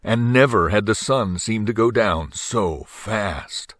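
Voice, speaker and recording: An older man reading a children's story aloud with dramatic, drawn-out phrasing. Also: on the low side, fairly bright tone, no echo, studio quality. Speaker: storybook reader.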